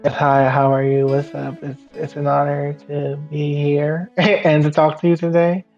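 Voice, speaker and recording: A man's voice: on the high side, unhurried, faint music behind. Young man with a muffled sound. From Guyana. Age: young adult. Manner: relaxed, laughs easily, talks in loose run-on phrases. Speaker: podcast guest. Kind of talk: casual interview chat. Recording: somewhat dull, muffled sound.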